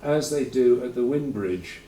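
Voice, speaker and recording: A man's voice: medium pitch, lively intonation, a little slow, warm tone, a little hiss or hum. Man, conference host, rambling and chatty, dry asides, roomy hall echo, heard from a distance, faint ambience. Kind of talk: informal conference introduction. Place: lecture hall.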